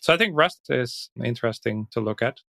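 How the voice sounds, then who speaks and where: A Dutch man, a native Dutch speaker, talking in English as a podcast guest, reflective and thinking aloud with soft laughs. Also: mid-range voice, animated, a little fast, vocal fry, no echo, clean studio sound. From Netherlands. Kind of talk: casual interview chat.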